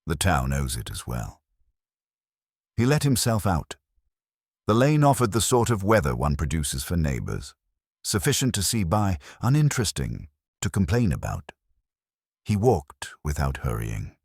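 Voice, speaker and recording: Male narrator for literary mystery: bass voice, expressive pitch movement, deliberate and wry. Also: slightly rough, dry recording, clean studio sound.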